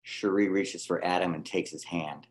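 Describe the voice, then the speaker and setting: A man's voice: sits low, fairly even intonation, normal speed. A man reading scene headings and stage directions in scripted narration at a table reading.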